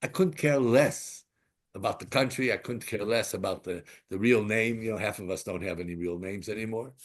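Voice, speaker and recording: A man's voice: on the low side, moderate pace. A man giving an informal Torah class, asking rhetorical questions and mixing in Hebrew terms as he drifts into side stories. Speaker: Torah teacher.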